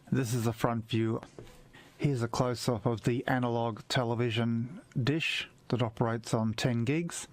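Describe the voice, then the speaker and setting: An Australian man narrates a prepared hobby video in an explanatory way. His voice sits at a medium pitch and the sound is dry, free of room echo. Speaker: amateur television hobbyist.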